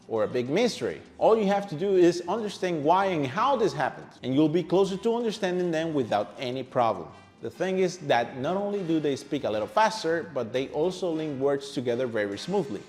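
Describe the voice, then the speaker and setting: A man with a fairly high voice and a Venezuelan Spanish accent teaches as a native Spanish speaker. He hosts the lesson in a playful, animated style, chatting straight to the viewer with questions and asides. Music plays along behind his voice. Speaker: language teacher, video host. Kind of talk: upbeat, conversational scripted lesson to camera.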